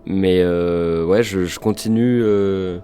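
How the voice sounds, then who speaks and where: A man's voice: sits low, slow pace, warm tone. A man playing in a tabletop game, easygoing and rambling as he says what his character does. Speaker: player. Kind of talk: casual tabletop roleplay.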